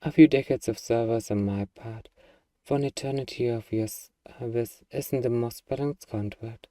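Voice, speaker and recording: A man's voice: mid-range voice, steady tone, moderate pace, warm tone, no room sound, far from the mic, natural voice. A man playing an eager, bargaining demon who craves a cuddly pet, in a playfully earnest, wheedling ASMR roleplay monologue. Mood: haggling.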